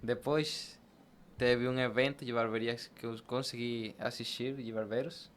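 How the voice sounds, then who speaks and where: A man's voice: mid-range voice, steady tone, a little slow. A man with a Venezuelan accent, a native Spanish speaker, answering conversationally as a podcast guest. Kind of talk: conversational interview answers, personal anecdotes. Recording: clear background noise.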